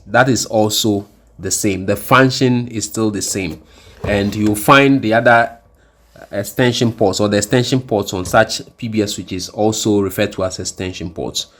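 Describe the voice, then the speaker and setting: A man presenting an instructional hardware demonstration in a deliberate, explanatory way, often rephrasing his points. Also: fairly low pitch. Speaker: tutorial presenter.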